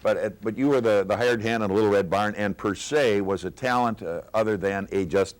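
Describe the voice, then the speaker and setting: Older man, veteran broadcaster, very low-pitched, unscripted recollection of working days. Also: a little fast. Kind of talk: unscripted reminiscing among colleagues.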